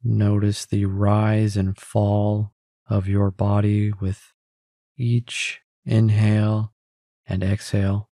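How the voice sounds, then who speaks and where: A man with a mellow, lowish voice guides a meditation in a very dry, close recording. He gives calm, gentle instructions and leaves space between phrases. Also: slow pace, dry recording. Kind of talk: guided meditation, scripted narration.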